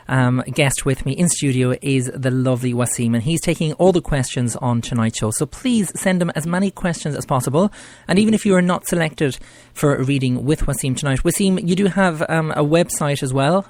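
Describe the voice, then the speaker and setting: An Irish man hosting a call-in show, upbeat and quick to praise. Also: fairly high pitch, dry recording. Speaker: host.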